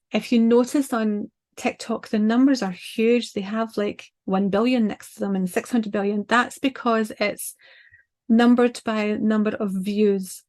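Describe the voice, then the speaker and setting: A woman's voice: mid-range voice, darkish tone. An older woman with a Scottish accent, casually giving advice over a video call from a small home office, chatty and full of restarts. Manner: chatty, talks with her hands, many ums and restarts. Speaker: branding designer and show host.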